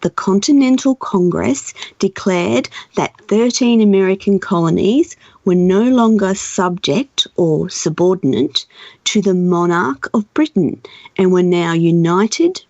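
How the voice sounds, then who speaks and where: A woman radio host with a croaky voice, chatting and then reading out a history piece. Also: on the low side, darkish tone, no room sound, lo-fi sound. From Australia. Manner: chatty, reads facts aloud steadily. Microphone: studio microphone.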